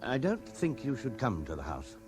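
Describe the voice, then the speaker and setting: Anxious secretive lover, highish swoopy voice, music behind, 70s radio play. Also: male voice, huge pitch swings, normal speed. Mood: urgent. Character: anxious male lover giving secretive, urgent instructions. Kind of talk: 1970s radio drama acting. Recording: music under old radio drama.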